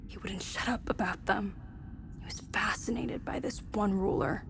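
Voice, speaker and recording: An actress playing a chatty, wry military research scientist in a video game, explaining things in a measured, conversational way. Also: female voice, mid-range voice, gravelly, whispered, lightly acted. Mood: calm, confiding. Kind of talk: scripted game dialogue, conversational exposition.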